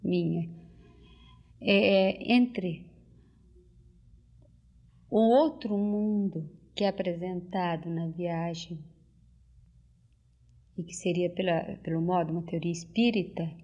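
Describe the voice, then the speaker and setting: An older woman, recalling things as an interviewee and often pausing to search for words and restart. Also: on the low side, steady tone, warm tone. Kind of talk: casual spoken reminiscence. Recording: dull lo-fi tape recording.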